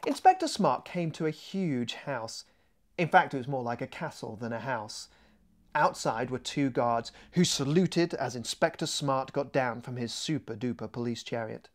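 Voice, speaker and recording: A man reading a children's mystery story expressively, as its author, with deliberate dramatic pauses. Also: mid-range voice, lively intonation.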